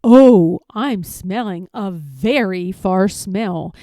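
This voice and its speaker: A woman voicing a big, gruff, growling male bear from a storybook, scornful and boastful. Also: mid-range voice, drawn-out words, dark tone, dry recording, clean studio sound. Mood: competitive boasting. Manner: snorting, scornful, emphatic. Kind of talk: storybook read-aloud character voice.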